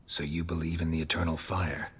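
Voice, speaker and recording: An actor playing a gruff, laconic monster slayer for hire in a video game, speaking tersely and dryly with a gravelly growl. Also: male voice, a bass voice, level tone, brisk, fairly bright tone, heavily acted. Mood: dry, guarded. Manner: terse, dry, understated. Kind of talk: video game dialogue acting.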